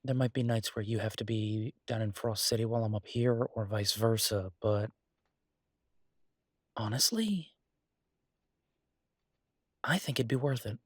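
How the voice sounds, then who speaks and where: A man playing an easygoing, earnest chef boyfriend in an intimate roleplay audio drama, talking casually and haltingly with a husky, reassuring voice. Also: fairly low pitch, dry recording, clean studio sound. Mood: earnest, persuasive.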